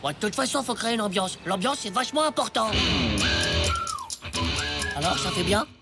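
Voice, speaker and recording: A woman's voice: medium pitch, animated, normal speed, gravelly, loud music behind the voice. Cocky ten-year-old cartoon boy. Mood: self-assured. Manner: slangy, self-assured, brash. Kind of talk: French animated cartoon voice acting.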